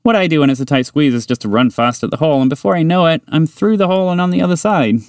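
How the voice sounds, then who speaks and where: A man voicing a small, excitable, chatty mouse in a bedtime story, eager and bubbly. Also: a little high, smooth, dry recording, clean studio sound, voice pushed up, close to an everyday voice. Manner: eager, bubbly, quick to laugh. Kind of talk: storybook character voice.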